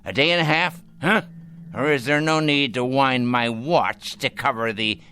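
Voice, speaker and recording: An actor playing a cantankerous, dying old patriarch in a radio drama, with florid, cutting, deliberate speech. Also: male voice, mid-range voice, sharp tone, natural voice. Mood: wry, demanding. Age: older. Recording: radio drama with background music.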